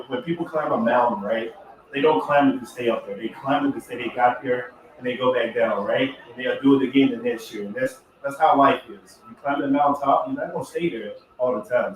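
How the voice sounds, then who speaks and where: A man, a football player, answering press questions in a reflective, motivational way. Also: mid-range voice. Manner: reflective, uses motivational metaphors. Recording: echoey room, far from mic.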